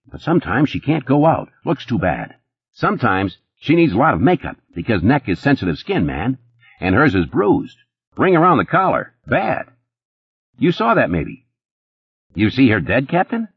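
A man voicing a jittery, boastful, sleazy young playboy who talks fast and nervous, his voice pitched well above his own. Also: wildly swooping pitch, creaky, slightly rough, dry recording, muffled recording, exaggerated voice. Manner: rapid, nervous, boastful, pitched high and pushy.

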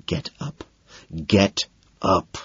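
Guy acting a cold threatening man, low hissy mutter, husky, audiobook. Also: a little slow, no room sound, muffled recording, exaggerated voice. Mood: urgent hissing. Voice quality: low, hushed, hissing. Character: cold, menacing, exasperated narrator-protagonist, threatening under his breath.

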